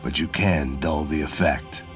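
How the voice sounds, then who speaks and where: An actor playing a world-weary, cynical middle-aged American ex-cop in a noir video game, with a sardonic, terse delivery. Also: male voice, a bass voice, steady tone, unhurried. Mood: bitter, brooding. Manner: sardonic, hard-boiled, terse. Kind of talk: noir game dialogue and narration. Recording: loud music behind the voice.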